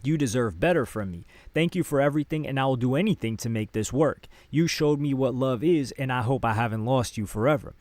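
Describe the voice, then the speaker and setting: A man's voice: medium pitch, fairly quick, hardly any pauses, a little mellow, no echo. An American man delivering gossip commentary in a news-like way, reading social media posts aloud as he goes.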